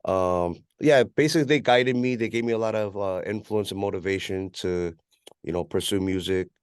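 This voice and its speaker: A man's voice: lowish voice, moderate pace, clean tone, dry recording, clean studio sound. A man with a West Coast American accent chatting casually as an interview guest, rambling in a laid-back way with plenty of "you know". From California, USA. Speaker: interview guest, rapper and music producer.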